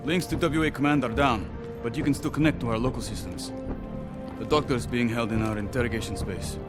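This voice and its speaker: An actor playing a weary but resolute Egyptian army lieutenant, giving formal, measured briefings in a video game. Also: male voice, mid-range voice, over music, natural voice. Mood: formal, grim. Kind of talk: video game cutscene acting.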